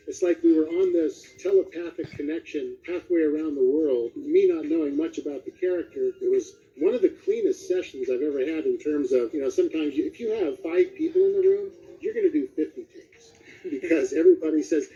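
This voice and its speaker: Very dark, mellow-voiced man chatting casually. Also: on the high side, animated, brisk. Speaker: guest voice actor. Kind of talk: casual interview anecdotes. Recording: dull, muffled lo-fi audio.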